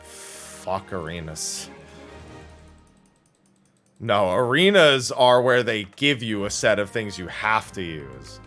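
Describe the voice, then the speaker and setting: Male game streamer, deep register with swinging intonation, blunt and opinionated off-the-cuff talk, music underneath. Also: big pitch swings, takes it slowly, pauses often, fairly bright tone. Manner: blunt, opinionated, casual swearing. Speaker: livestreamer. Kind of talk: casual gaming stream chat.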